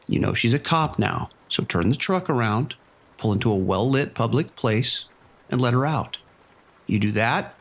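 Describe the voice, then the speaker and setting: A steady, mid-pitched male voice gives firm orders as a police sergeant negotiating over the phone, played by a man. He speaks in a commanding, no-nonsense way in this audio drama. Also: natural voice.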